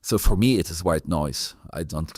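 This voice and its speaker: Male interview host, very deep pitch, halting casual delivery with repeated words. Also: a little mellow, a little hiss or hum. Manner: halting, repeats words, non-native phrasing. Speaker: host of a tutorial channel.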